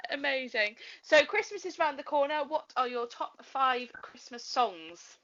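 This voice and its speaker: A woman hosting a casual chat interview, enthusiastic and quick to agree. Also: sits high, expressive, sharp tone. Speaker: interviewer, host. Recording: dull, lo-fi call audio.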